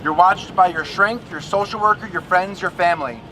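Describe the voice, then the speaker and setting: A man's voice: high-pitched, a little fast, pushed and bright, low-quality recording. A man reciting lines of a poem at a public protest. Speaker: protest participant reading a poem. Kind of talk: public poetry reading at a protest. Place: outdoors at a street protest. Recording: clear outdoor traffic noise.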